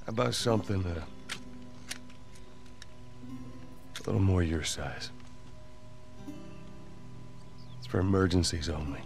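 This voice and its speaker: Gruff, very low-voiced survivor. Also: male voice, fast, over music. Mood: guarded. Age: middle-aged. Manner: terse, instructing. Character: gruff middle-aged male survivor, guarded and stern. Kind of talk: video game cutscene acting.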